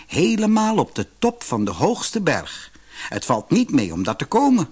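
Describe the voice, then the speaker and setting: Man voicing a gentle, unhurried good fairy. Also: fairly high pitch, slightly rough, exaggerated voice. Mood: solemn. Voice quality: soft, sweet. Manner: calm, reassuring.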